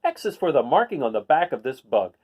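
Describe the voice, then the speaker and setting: A man's voice: mid-range voice, big pitch swings, fairly quick, a little mellow. A man reading a children's picture book aloud, adding casual asides and putting questions to his listeners. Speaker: read-aloud host.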